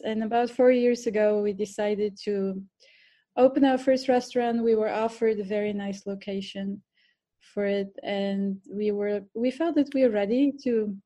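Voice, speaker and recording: A woman's voice: medium pitch, warm tone. A middle-aged woman with a Bulgarian accent, a podcast guest telling her story earnestly, with fillers and restarts. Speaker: podcast guest, cooking teacher and restaurant owner. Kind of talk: podcast interview.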